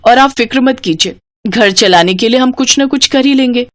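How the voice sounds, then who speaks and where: A woman's voice: fairly high pitch, normal speed, natural voice. A woman voicing a dutiful, hopeful young daughter-in-law in a cartoon moral story, polite and earnest. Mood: reassuring.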